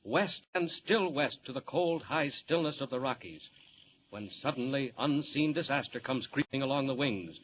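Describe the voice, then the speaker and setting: A male announcer delivers scripted 1940s radio narration with theatrical suspense. His pitch is medium. The recording is muffled with a faint hiss. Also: expressive, normal speed.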